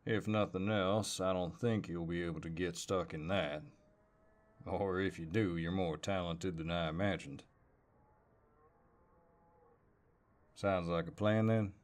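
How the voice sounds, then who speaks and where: A very low-voiced Southern cowboy, acted by a man, speaks in an unhurried, folksy drawl. He is an easygoing, playful neighbor who flirts and teases gently in an intimate audio roleplay. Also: fairly even intonation, natural voice. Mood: warm, teasing. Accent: American Southern. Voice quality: deep.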